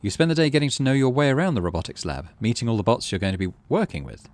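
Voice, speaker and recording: A man's voice: medium pitch, expressive, very fast, a little mellow, no echo. A man giving casual let's play commentary, thinking aloud through his choices and reading out game text. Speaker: gaming video host.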